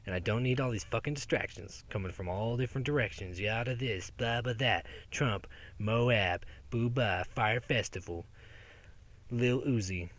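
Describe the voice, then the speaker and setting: A man's voice: mid-range voice, moderate pace, fairly bright tone. A young man rambling sarcastically and profanely in a casual outdoor monologue as a podcast host. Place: outdoors in daylight.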